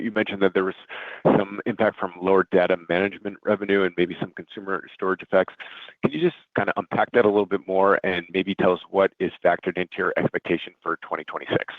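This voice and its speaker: A man's voice: deep voice, dry recording. A male financial analyst putting a question to management on an earnings call. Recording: narrow muffled phone line.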